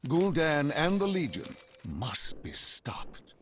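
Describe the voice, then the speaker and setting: A man's voice: fairly high pitch, huge pitch swings, slow pace, slight background noise, exaggerated voice. An actor playing a courteous, grave male champion in a fantasy video game. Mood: stately. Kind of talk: scripted video game voice acting.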